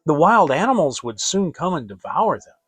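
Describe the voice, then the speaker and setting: A man playing a poor, soft-hearted, troubled woodcutter father in a storybook reading, with a pleading manner. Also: fairly high pitch, sing-song, moderate pace, slightly rough, dry recording, soft background music, full character voice. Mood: anguished.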